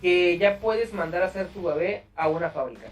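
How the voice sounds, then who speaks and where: A man's voice: high voice, a little fast, recorded from a distance. Mexican man chatting casually over music. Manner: slangy, profane. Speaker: podcast host. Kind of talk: casual podcast chat.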